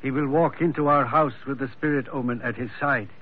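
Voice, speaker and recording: 남자 배우가 연기하는 불안한 농장주 통역자. 허스키한 목소리로, 얇고 전화 같은 옛 라디오 음질이다. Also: male voice, mid-range voice, fairly even intonation, brisk, slightly rough, close to an everyday voice. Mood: translating. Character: uneasy male plantation owner, interpreter. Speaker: plantation owner Fenric. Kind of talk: 1940s radio acting. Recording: tinny old radio broadcast.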